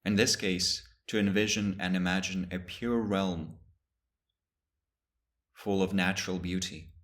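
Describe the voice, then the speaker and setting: Very low-voiced man guiding a meditation. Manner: slow, calm, with long pauses between phrases. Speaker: meditation teacher. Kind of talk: guided meditation.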